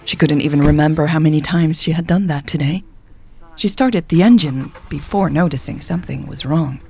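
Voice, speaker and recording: A woman's voice: deep voice, normal speed, vocal fry, darkish tone, slightly rough. A woman reading a scripted story as a narrator, voicing the dialogue as she goes. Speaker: audio fiction narrator.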